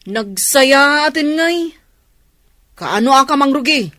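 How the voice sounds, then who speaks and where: A woman acting an excitable, easygoing motorbike rider in a village radio comedy drama, exclaiming with drawn-out delight. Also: sits high, dry recording, studio quality, heavily acted.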